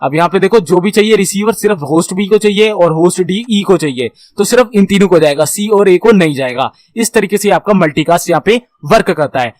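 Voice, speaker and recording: A man's voice: sits high, brisk, few pauses, a little mellow. A man giving an explanatory lesson as an instructor, in a didactic, repetitive way, often checking that listeners follow. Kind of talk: explanatory computer networking lesson, reading notes and explaining them.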